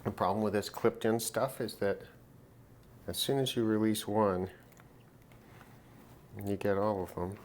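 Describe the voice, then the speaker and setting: A man giving casual, unscripted commentary on a hands-on repair, halting and musing to himself as he works. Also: fairly low pitch, steady tone. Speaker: host of a hobby radio repair video. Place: at a desk, indoors. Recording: clear background noise, handling clicks.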